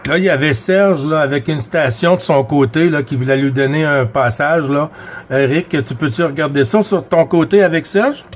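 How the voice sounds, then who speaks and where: A man speaks in a somewhat high voice with a Quebec French accent. He talks casually as a CB radio operator, repeating words and tagging his phrases with little fillers. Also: moderate pace, a little hiss or hum. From Quebec, Canada. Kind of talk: casual radio contact chat.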